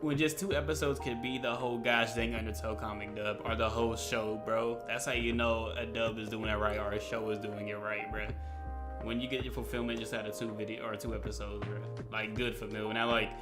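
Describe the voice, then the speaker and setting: A man's voice: fairly low pitch, flat delivery, a little fast, music in the background. A man hosting a reaction video with casual, slangy, exclamatory commentary.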